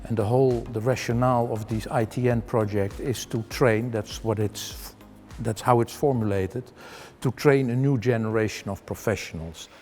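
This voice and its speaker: A man's voice: medium pitch, fairly even intonation, normal speed, hardly any pauses, warm tone, big-room sound, faint music behind. A man explaining a training project's purpose in a documentary interview, correcting himself as he goes.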